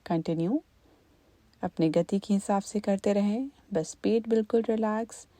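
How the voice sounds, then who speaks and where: A woman leading a guided meditation as an instructor, in a calm, gentle, unhurried manner. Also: medium pitch, dry recording.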